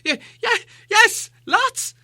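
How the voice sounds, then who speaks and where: A man's voice: extremely high pitch, lively intonation, extremely slow, bright, forceful tone, no echo, pitched above the performer's own voice, exaggerated voice. A man voicing a small, terrified hobbit in a storybook, stammering and breathlessly pleading. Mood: panicked.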